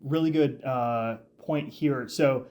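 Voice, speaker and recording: A man co-hosting a casual podcast, talking excitedly and rambling with frequent restarts and fillers. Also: medium pitch, takes it slowly. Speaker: podcast co-host. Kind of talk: casual chat.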